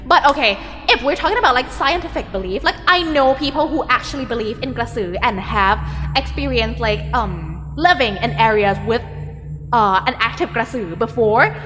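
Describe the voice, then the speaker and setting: A slightly high-voiced woman with a Thai accent chats as a streamer, reading out viewer messages. She rambles easily, filling gaps with "like" as she works through ideas. Her voice carries a long reverb tail, with soft music underneath. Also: expressive, normal speed. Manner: chatty, filler-heavy, thinks aloud with frequent "like".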